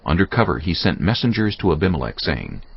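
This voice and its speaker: Very deep, gravelly male Bible narrator. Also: big pitch swings, a little fast. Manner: solemn, storytelling. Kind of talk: scripted narration of a dramatised audio Bible. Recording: muffled, band-limited audio with music. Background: music bed.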